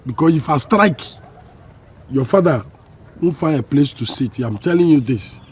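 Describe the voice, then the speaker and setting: A man's voice: fairly high pitch, animated, moderate pace, slight background noise, natural voice. An actor with a Nigerian accent playing a proud, menacing man in a land dispute, blustering and throwing challenging questions in film drama dialogue. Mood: threatening.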